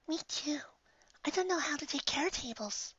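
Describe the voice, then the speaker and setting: A man voicing a timid, tender young female pegasus pony in a soft, breathy, hesitant falsetto. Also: animated, moderate pace, dry recording, dull audio, full character voice. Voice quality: breathy, near-whispering falsetto.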